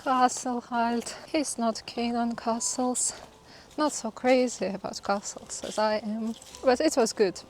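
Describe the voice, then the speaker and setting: A woman's voice: fairly high pitch, steady tone, measured pace, slight background noise, low-quality recording. A woman vlogger giving travel narration and casual commentary on a sightseeing visit.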